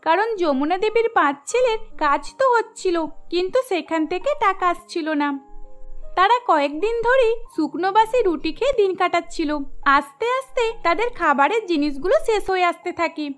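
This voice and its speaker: A woman's voice: very high voice, lively intonation, normal speed, fairly bright tone. A woman narrating a scripted cartoon story, with music under her voice.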